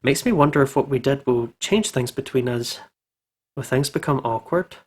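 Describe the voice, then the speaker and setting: A man's voice: medium pitch, normal speed, husky. A man hosting a let's play, casually commenting and reading visual novel text aloud in an informal, jokey way. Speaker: video game let's play host.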